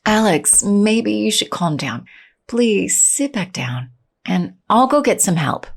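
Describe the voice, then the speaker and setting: Tired kind teacher in an audio drama, husky, lots of fry. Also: female voice, mid-range voice, sing-song, takes it slowly, full character voice. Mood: soothing, concerned. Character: caring, weary female schoolteacher looking after teenagers.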